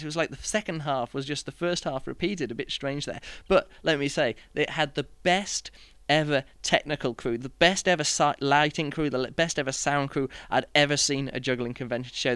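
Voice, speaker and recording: A man with a clean, smooth voice talks casually as a podcast host. He rambles and hesitates, often starting his sentences over. The sound is dry, with very little room to it. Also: mid-range voice, fairly bright tone, no room sound. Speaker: podcast host and juggling performer. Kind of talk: casual podcast chat, reviewing his year.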